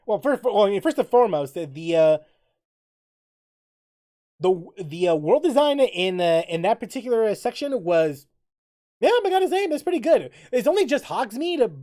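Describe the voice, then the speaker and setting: A man hosting a livestream in casual, rambling chat, often halting to repeat and rephrase himself mid-sentence. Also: high-pitched, mellow. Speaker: livestream host.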